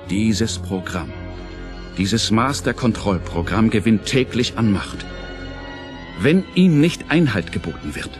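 A man narrating a radio play from a script in a theatrical, suspenseful, articulate manner. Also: fairly low pitch. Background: music.

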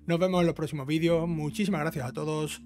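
Guy from spain doing a chill step by step game guide, highish voice, music playing under him. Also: steady tone, quick pace, a little mellow. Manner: instructional, often ends phrases with a checking tag. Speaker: video game trophy guide host. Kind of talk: informal step-by-step game walkthrough commentary.

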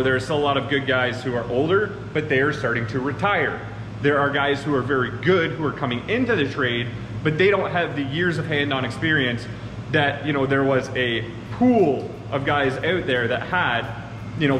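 A man in his thirties from Ontario, Canada, a machine shop owner giving earnest casual advice to camera from his shop floor. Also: medium pitch. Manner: earnest, talks with his hands, addresses viewers as guys. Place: large open machine shop floor, indoors. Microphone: far camera on a tripod. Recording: roomy echoey shop with long reverb and air conditioning hum.

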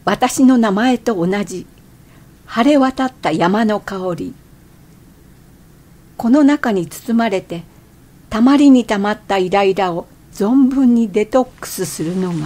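An actress playing an irritable middle-aged woman hiker who narrates her thoughts in expressive radio drama style. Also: mid-range voice, takes it slowly, pauses often. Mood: animated. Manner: expressive, theatrical inner monologue.

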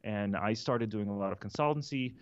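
Russian guy, lowish voice, lots of uh and restarts, kinda muffled call audio. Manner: halting, many fillers and restarts. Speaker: guest. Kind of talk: casual interview talk. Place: office conference room with windows. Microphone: studio mic on a boom, headphones.

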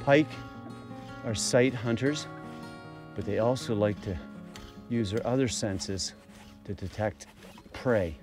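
A man narrating a fishing segment in a slow, measured voice-over. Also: on the low side, music in the background. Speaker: fishing show host.

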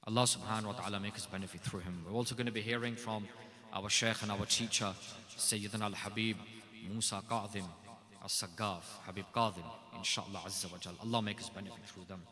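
A man's voice: fairly low pitch, steady tone, quick pace, crowd noise. A man speaking at a religious gathering, delivering an emphatic devotional address that stacks short, repeated phrases before introducing the scholars. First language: not Urdu. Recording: long hall reverb.

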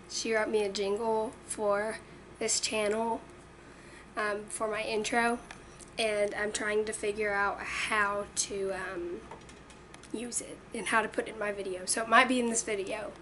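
A teenage girl with a raspy voice talks to her webcam, earnest and full of ums. Her delivery stays flat and even. She sits back from the mic, and steady noise hums underneath. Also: mid-range voice. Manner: earnest, chatty, many ums. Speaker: vlogger. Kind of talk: casual chat to camera. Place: small bedroom indoors. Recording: distant webcam audio with noise.

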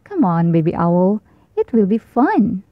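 A woman's voice: mid-range voice, big pitch swings, unhurried, no room sound. Woman voicing a coaxing mother owl. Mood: gentle. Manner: gently coaxing. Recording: dull lo-fi sound.

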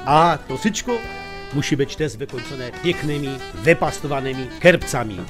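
An actor playing a jovial, folksy highlander groom in an audio drama, with a Polish highland accent. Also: male voice, normal speed, over music, close to an everyday voice. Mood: proud, droll. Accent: Polish highlander (Goral). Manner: folksy, droll, instructive. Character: jovial highlander groom proudly explaining his costume.

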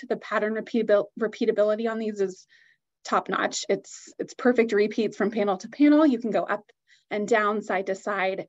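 A woman's voice: medium pitch, lo-fi sound. A woman giving an upbeat, enthusiastic product presentation on a video call.

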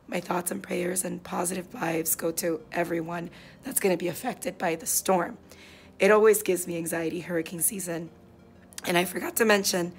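A woman in her forties, a teacher, chatting casually to camera in a hoarse voice because she is losing it. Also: fairly low pitch, slight background noise. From South Florida. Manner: chatty, reflective, easygoing. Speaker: fourth grade teacher. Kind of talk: casual vlog talk to camera. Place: classroom and car, indoors. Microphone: phone held at arm's length.

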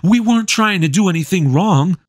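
A man's voice: high-pitched, expressive, brisk, dry recording, studio quality, voice pushed up. A man voicing a frightened young boy who pleads and stammers. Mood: nervous.

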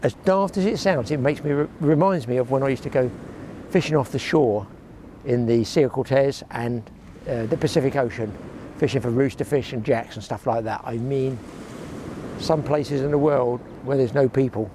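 A chatty older man in his sixties talks to a close-held camera while fishing alone on a shingle beach. He rambles from tips to grumbles with a dry sense of humour, his voice sitting in a middle register. Clear outdoor noise runs behind him. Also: medium pitch, moderate pace, mellow. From England. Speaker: fishing vlogger. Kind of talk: casual chat to camera. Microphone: action camera held close.

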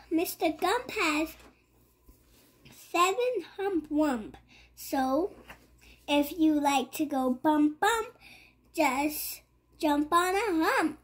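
Child reader, high-pitched voice, very slow halting pace, picture-book read-aloud.

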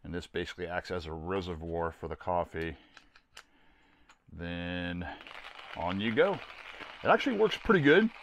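A man's voice: low voice, expressive, moderate pace, long pauses, background noise. An older American man giving a casual, plainspoken product review to camera in a folksy, rambling way. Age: older, around 60s. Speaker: host of a camping gear video series. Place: small camper trailer interior.

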